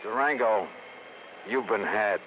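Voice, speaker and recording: A man's voice: lowish voice, takes it slowly. An actor playing a wry, confident American investigator, clipped in his delivery, in 1950s radio detective style. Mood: concerned. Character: brisk, tough-but-decent male insurance investigator narrating his case. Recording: very noisy, tinny 1950s radio recording.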